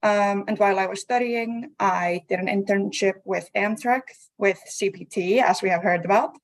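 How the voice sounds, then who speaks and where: A young woman from Iceland introducing herself on an online panel, composed and orderly with soft fillers. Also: mid-range voice, poor audio. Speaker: alumna panelist.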